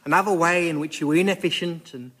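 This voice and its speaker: A man's voice: a little high, fairly even intonation, fast talker, a bit bright. An actor playing a chummy key cutter in a radio comedy sketch, deferential and coaxing as he congratulates his customer. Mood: coaxing, congratulatory.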